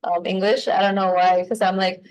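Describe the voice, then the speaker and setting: A woman's voice: mid-range voice, level tone, normal speed, darkish tone. Chatty young woman with a Spanish accent. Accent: Mexican Spanish. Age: young adult. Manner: chatty, heavy use of "like", switches into Spanish. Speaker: podcast co-host. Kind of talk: casual conversation.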